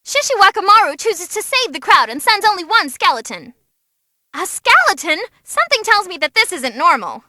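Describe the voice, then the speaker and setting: An actress voicing an excitable, cheeky young female ring announcer, giving animated, theatrical fight commentary in a video game. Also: very high voice, normal speed, no echo, studio quality, full character voice. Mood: lively commentating. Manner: perky, theatrical, wisecracking. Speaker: match commentator.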